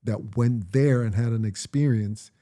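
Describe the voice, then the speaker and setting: Dark-voiced Jamaican man, reflective. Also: medium pitch. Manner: reflective, measured storytelling. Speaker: interviewee, parent. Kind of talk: casual conversation.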